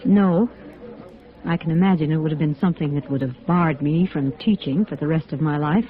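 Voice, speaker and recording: A woman's voice: sits low, fairly quick, close to an everyday voice. A woman acting an uneasy but principled American school principal in a 1950s radio drama, speaking hesitantly and earnestly. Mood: guarded, confiding. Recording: thin, narrow vintage radio recording.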